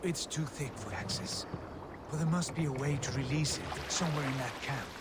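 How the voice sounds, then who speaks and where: An actor playing a wary, commanding Viking leader in a video game cutscene, measured and authoritative. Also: male voice, medium pitch, lively intonation, very noisy, natural voice. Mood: firm, low. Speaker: leader of a raiding crew. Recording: loud music and water behind dialogue. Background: game music, water, boat sounds.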